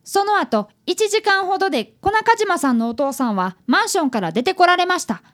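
A woman's voice: very high voice, big pitch swings, a little fast, fairly bright tone, full character voice. A woman playing an earnest, polite schoolboy apprentice detective who acts and narrates in a radio drama. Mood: earnest narrating.

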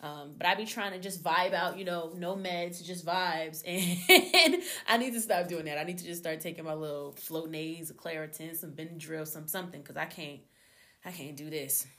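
A young adult woman hosting her own podcast, talking casually and animatedly to her listeners, her voice slightly hoarse from a cold. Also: on the low side, normal speed. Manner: chatty, animated, addresses listeners as y'all. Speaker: podcast host. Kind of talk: casual solo podcast chat.

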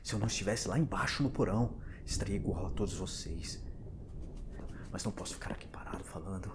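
An actor playing a panicked, paranoid husband and father in an audio drama, breathy and stammering as he moves between whispers and outbursts. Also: low-pitched, fast, noisy background, close to an everyday voice. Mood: urgent, determined. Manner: frantic, breathy, stammering, lurching from whispers to shouts.

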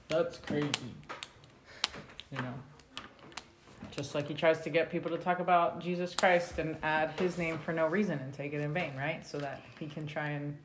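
A woman, the mother, leading a casual family scripture discussion, asking her children questions and laughing easily. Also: low-pitched. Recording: roomy home recording with clear background noise.

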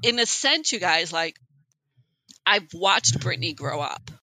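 A woman in her late forties co-hosting a podcast, telling personal stories in an animated, conversational way. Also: on the low side, unhurried, no echo, rough recording. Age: late 40s. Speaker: podcast co-host. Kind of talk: casual personal podcast chat.

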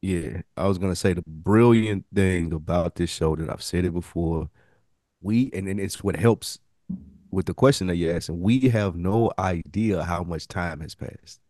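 A man's voice: low-pitched, normal speed, warm tone, no echo. A man co-hosting a podcast, talking through a TV show casually in a slangy, explanatory and emphatic way. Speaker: podcast co-host. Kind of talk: casual analytical TV discussion.

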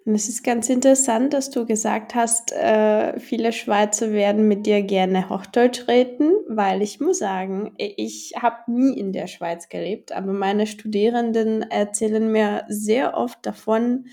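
A woman's voice: mid-range voice, steady tone, a little slow, hardly any pauses, warm tone. A woman hosting an interview podcast, a German teacher asking questions and murmuring along encouragingly. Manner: encouraging, many listening murmurs. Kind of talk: casual interview.

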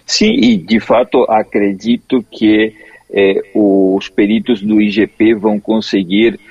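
Husky, low-voiced male expert on lo-fi link. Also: animated, darkish tone, no echo. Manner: hesitant, measured, restarts technical explanations. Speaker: forensic expert, interview guest. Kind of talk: explanatory interview answers.

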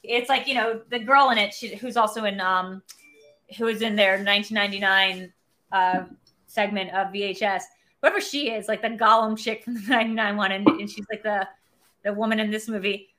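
A young adult woman co-hosts a loose, casual film chat. She rambles along with a lot of "like", and her voice is bright and forward. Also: medium pitch, fairly even intonation, a little fast. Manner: rambling, heavy use of "like". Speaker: podcast and stream co-host.

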